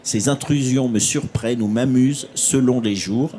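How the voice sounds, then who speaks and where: A man's voice: medium pitch, normal speed, mellow, slight background noise. Hesitant male conference moderator. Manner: hesitant, fills with "euh".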